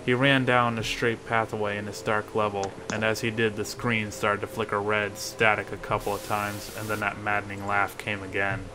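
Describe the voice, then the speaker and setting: A man's voice: on the low side, flat delivery, moderate pace, few pauses, background noise. A man reading a first-person horror story as a creepypasta narrator. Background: music.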